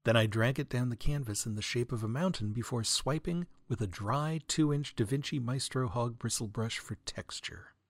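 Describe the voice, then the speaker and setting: A man hosting a comedy podcast in a wry monologue, deadpan and mock-grandiose, with florid, wordy phrasing. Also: mid-range voice, moderate pace, few pauses, dry recording. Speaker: podcast host, writer and audio editor. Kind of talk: wry comedic monologue, answering listener mail.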